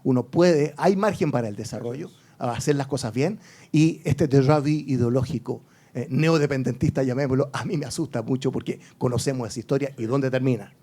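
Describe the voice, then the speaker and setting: A man's voice: medium pitch, fairly quick, warm tone. A man speaking as a panelist at a debate, wrapping up an argument with emphasis.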